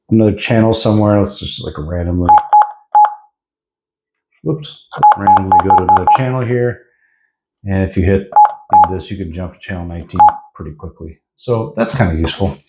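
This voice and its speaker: A man's voice: on the low side, wildly swooping pitch, moderate pace, very noisy. An American man giving a casual, informal product review, explaining features a little hesitantly. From United States. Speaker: radio reviewer. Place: indoors at a desk. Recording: roomy, echoing indoor sound.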